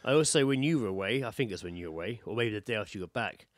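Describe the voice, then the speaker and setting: A man's voice: fairly low pitch, lively intonation, racing, dry recording, clean studio sound. A British man in his thirties co-hosting a podcast, telling anecdotes in a casual, matey, sweary chat. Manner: sweary, matey storytelling, quoting himself and others. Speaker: podcast co-host.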